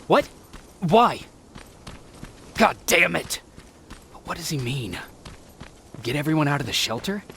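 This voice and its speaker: Bright-voiced, blunt resistance soldier. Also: male voice, fairly high pitch, big pitch swings, a little slow, gravelly, pauses often, slight background noise, heavily acted. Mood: alarmed. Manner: blunt, impatient. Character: terse, driven male resistance soldier. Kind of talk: video game voice acting.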